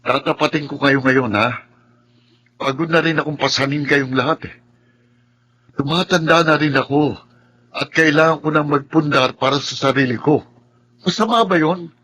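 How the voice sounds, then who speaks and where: A worn-out Filipino breadwinner son in a radio drama. His voice is slightly high for a man and husky, and he speaks in an earnest, pleading, exasperated way. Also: moderate pace, long pauses, sharp tone. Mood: weary, frustrated. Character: weary, exasperated grown son carrying his family. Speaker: drama actor.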